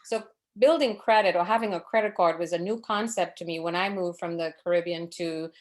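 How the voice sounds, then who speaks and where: A woman's voice: on the low side, fairly quick. A woman from Trinidad in her forties, presenting a financial education webinar over a video call, earnest and advising, with fillers and self-corrections.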